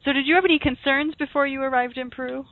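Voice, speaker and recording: A woman's voice: high-pitched, fairly even intonation, fast, dry recording. A woman hosting an interview, asking questions in a friendly way with brief replies. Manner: friendly, brief responses, laughs along. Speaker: host. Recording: dry tinny phone-like line.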